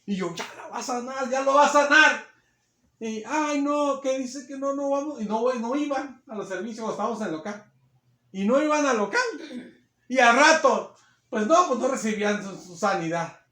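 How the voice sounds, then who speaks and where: A man's voice: extremely high pitch, normal speed, pushed and bright, reverberant. A man preaching a sermon, with a strong voice that he holds back and then lets rise into emphatic bursts. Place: church.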